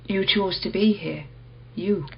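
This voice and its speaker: An actress playing a calm, enigmatic questioner in a radio play, measured, knowing and quietly insistent. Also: female voice, mid-range voice, creaky, muffled recording. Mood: calm, probing.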